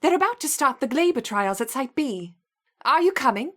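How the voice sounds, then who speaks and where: A woman's voice: high-pitched, very animated, moderate pace, a bit bright, full character voice. A woman playing a polite, matter-of-fact research colleague in a video game. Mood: polite, brisk. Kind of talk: video game character acting.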